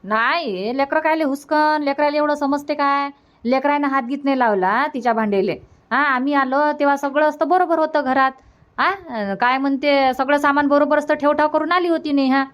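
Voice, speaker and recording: Woman voicing a high-pitched grumbling cartoon mother. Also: moderate pace, fairly bright tone. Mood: defensive. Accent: Varhadi Marathi from Vidarbha. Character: grumbling, doubting middle-aged mother of a grown son. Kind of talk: cartoon comedy dubbing.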